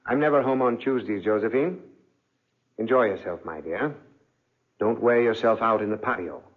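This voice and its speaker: An actor playing a cold, pompous older husband, formal and condescending, in a 1940s radio drama. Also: male voice, on the low side, moderate pace, natural voice. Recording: thin, tinny 1940s radio recording.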